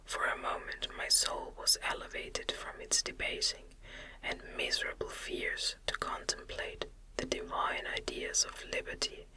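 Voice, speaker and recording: A woman reads a classic novel aloud as ASMR, whispering in a gentle, soothing, unhurried way with short phrases. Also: poor audio. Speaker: audiobook reader.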